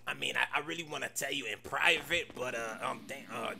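A man voicing a bossy, easily flustered killer in an animated game parody, with slangy, blustering talk. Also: mid-range voice, brisk, gravelly, a little hiss or hum, exaggerated voice. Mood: nervous, hesitant. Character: swaggering, nervous red impostor killer, smitten and bossy.

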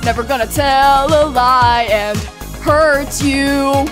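Woman singing karaoke over loud music. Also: sits high, slow pace. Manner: singing along loosely, fumbling some words. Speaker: streamer.

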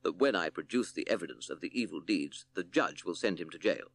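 A man's voice: deep voice, expressive, a little fast, gravelly, no echo, heavily acted. A man voicing a pompous male owl prosecutor in a storybook, with formal, self-important legal speech. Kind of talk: storybook character voice.

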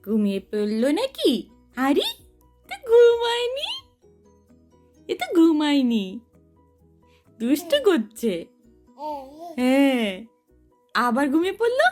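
A woman coos playful baby talk in short, repeated questions. Her voice is high and swoops about, unhurried, heard at a distance with soft music underneath. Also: huge pitch swings. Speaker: mother talking to her baby.

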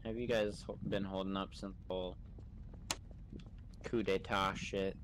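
A man's voice: deep voice, flat delivery, measured pace. A man chatting casually in an online roleplay game, with hesitant, laid-back phrasing. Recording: noisy game voice chat.

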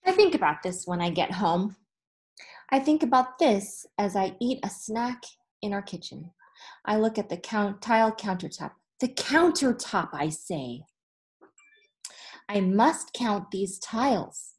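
A woman in her thirties, a teacher reading a storybook aloud to children with deliberate phrasing and expressive emphasis. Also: mid-range voice. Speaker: elementary school teacher. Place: small indoor room with whiteboard.

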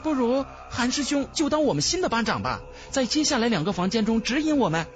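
Man acting a highly animated, sharp-tongued young woman student. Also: fairly quick, faint music behind. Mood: admiring.

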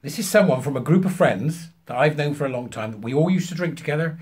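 A British man in his fifties telling an anecdote to camera, emphatically acting out both sides of a conversation in a husky voice. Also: fairly high pitch, animated, fast, darkish tone. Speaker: vlogger. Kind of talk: animated anecdote told to camera. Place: small furnished room at home, indoors.

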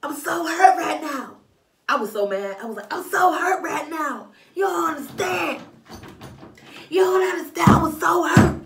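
A young woman telling a story in a vlog, sassy and animated, filmed by a camera in a small room at home. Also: extremely high pitch, unhurried, echoey room, far from the mic, slight background noise. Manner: animated and sassy, waving her arms. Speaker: vlogger. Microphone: camera in front of her.

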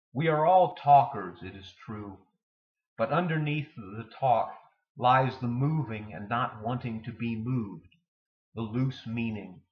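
A man in his forties reading poetry aloud in a measured, literary way, pausing between phrases. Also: medium pitch, muffled recording. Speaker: poetry reader. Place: indoor room with bookshelves.

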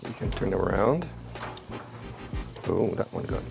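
Young guy with a gravelly voice walking through a recipe outside by the grill, easygoing, soft music behind. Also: low-pitched, unhurried. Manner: relaxed, explains each step as he works. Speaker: cooking show chef. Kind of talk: cooking demonstration. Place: outdoor garden by a barbecue grill.

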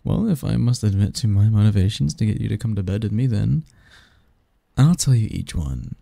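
Man playing a dark, breathy seductive demon lover. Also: fairly low pitch, expressive, dry recording, heavily acted. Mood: teasing, affectionate. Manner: slow, coaxing, teasing, murmured. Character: seductive, doting male sleep demon lover, softly possessive. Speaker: roleplay audio performer. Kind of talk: ASMR roleplay, intimate. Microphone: close, dry microphone.

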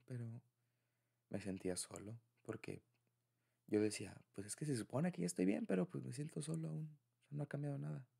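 A man's voice: on the low side, vocal fry, mellow, slightly rough. A young man with a Mexican accent speaking informally and confidingly in an intimate, conversational boyfriend roleplay. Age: young adult. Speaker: audio roleplay creator speaking to a partner.

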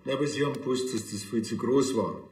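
An actor playing a grumpy, dry-witted small-town police officer with a Bavarian accent. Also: male voice, mid-range voice, fast, darkish tone, echoey room, big-room sound, slight background noise, close to an everyday voice, low-quality recording. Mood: dry, offhand.

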